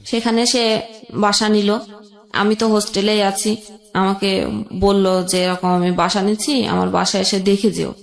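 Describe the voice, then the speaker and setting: A young woman telling an emotional personal story as a guest, halting, with pauses and restarts. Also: mid-range voice, level tone, vocal fry, echoey room.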